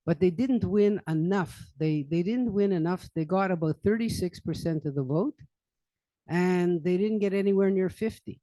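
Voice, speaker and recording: An older man speaks in a loose, rambling lecture manner, full of fillers and restarts. His voice sits a little high and sounds mellow. The sound is dry and close. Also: dry recording, poor audio. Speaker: lecturer on current events. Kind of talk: informal public library talk. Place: library meeting room.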